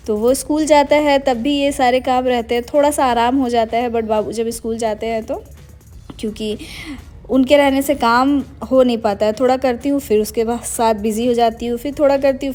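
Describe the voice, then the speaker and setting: A woman's voice: high-pitched, a little mellow. A young adult woman narrating a casual daily-life vlog, chatty and meandering, mixing English words into her Hindi. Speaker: vlogger.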